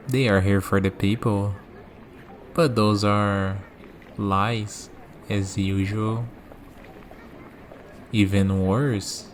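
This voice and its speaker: Slow, low-voiced calm villain played by a man. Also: a little hiss or hum. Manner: deliberate, measured, with dramatic pauses. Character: calm, cynical male villain wielding godlike power. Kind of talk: ASMR roleplay acting.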